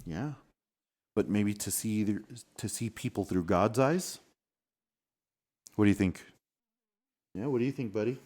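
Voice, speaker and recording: A man's voice: on the low side, unhurried, pauses often, mellow. A man reading a storybook aloud and chatting with children as their father, with an encouraging, patient manner.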